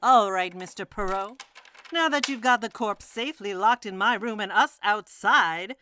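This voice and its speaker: A woman's voice: medium pitch, moderate pace, heavy background noise, natural voice. An actress playing a brash, defiant woman suspect in a radio-style mystery, blunt and wisecracking. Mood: defiant, sarcastic. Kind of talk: theatrical radio-mystery acting.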